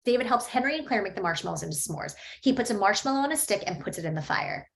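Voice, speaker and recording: A woman reading a screenplay's stage directions aloud at a table read, steady and matter-of-fact. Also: mid-range voice, fast talker, gravelly.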